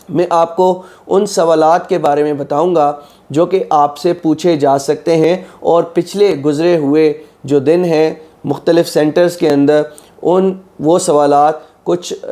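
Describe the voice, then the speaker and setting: Hoarse tutor with mellow voice. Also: male voice, a little high. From Pakistan. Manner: methodical, repeats "ठीक है" often. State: slightly sore throat, clears it. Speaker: exam prep tutor. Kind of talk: instructional lecture.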